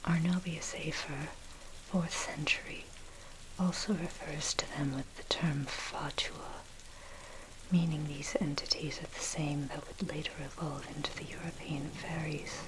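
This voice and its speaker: A woman reading dark history as ASMR in a breathy, unvoiced whisper, with a measured, scholarly delivery. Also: low-pitched, low-quality recording. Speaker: narrator. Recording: steady loud rain noise over voice. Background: rain and thunder.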